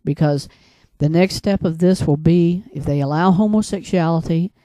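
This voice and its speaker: An American man speaks as a broadcaster delivering solo commentary. His voice is mellow and rather dark, recorded dry with very little room sound. He is earnest and admonishing, moving haltingly and circling back to repeat phrases for emphasis. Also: a little high, dry recording. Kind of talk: religious and political commentary monologue.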